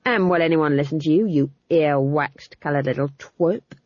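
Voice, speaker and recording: A woman voicing Huron, a surly, nervous male guard who talks in a gruff, hurried way. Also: a little high, dry recording, lo-fi sound, close to an everyday voice.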